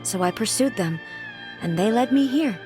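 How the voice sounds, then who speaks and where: A woman's voice: a little high, animated, music in the background, lightly acted. Ei, a woman voicing a solemn ancient goddess. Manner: measured, formal and grave. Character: ancient stern goddess ruler, solemn and formal. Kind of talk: game dialogue.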